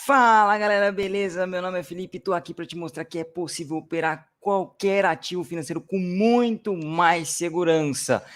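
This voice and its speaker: An energetic man hosts an online trading class and speaks straight to the viewers. His voice sits a little high. He keeps a brisk, upbeat presenter's manner. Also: a little hiss or hum. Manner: energetic, addresses viewers directly. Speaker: course host.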